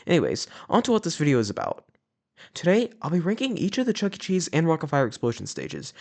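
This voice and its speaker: Young man with an animated, rambling delivery. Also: mid-range voice. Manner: rambling, with many "uh"s and "you know"s. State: sore throat. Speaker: YouTube host ranking animatronic stages. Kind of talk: casual commentary over a tier list.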